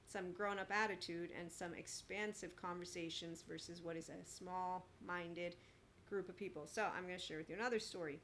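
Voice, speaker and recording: Woman in her forties, lowish voice, emphatic and sarcastic, solo podcast monologue, noisy background. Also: steady tone, moderate pace, fairly bright tone. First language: bilingual with Italian. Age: 40s. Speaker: podcast host. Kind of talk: opinionated solo monologue with personal stories.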